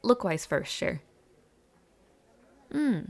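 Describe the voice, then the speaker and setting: A shy but playful college girl acted by a young woman, speaking in an intimate roleplay style. Her pitch moves around a lot and her pace is unhurried, with a creaky edge to the voice. She comes across as warm, hesitant and gently teasing. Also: big pitch swings, exaggerated voice. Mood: coy, curious. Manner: hesitant, giggly, softly teasing. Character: warm, shy, playful female university student with a crush. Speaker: audio roleplay performer. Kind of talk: intimate audio roleplay acting.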